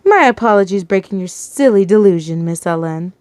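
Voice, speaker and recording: Woman acting courteous male blackmailer, smooth, formal, quietly menacing, storybook villain, dry sound. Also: medium pitch, expressive, fairly quick, no room sound, close to an everyday voice. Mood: smoothly threatening. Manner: polite, smooth, formal, condescending. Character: pleasant, psychotic, smooth-talking male blackmailer and killer.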